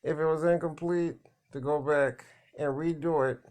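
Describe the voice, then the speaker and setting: A man talking in a casual, rambling monologue, halting and repeating words, blunt and plain-spoken. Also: on the high side, steady tone, darkish tone, a little hiss or hum. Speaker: vlogger.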